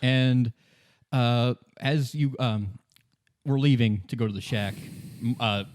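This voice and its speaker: Male game master, medium pitch, conversational, halting, casual story narration. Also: fairly even intonation, darkish tone. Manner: conversational, halting, repeats words. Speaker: game master and podcast host. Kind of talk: casual improvised narration of a tabletop-style story.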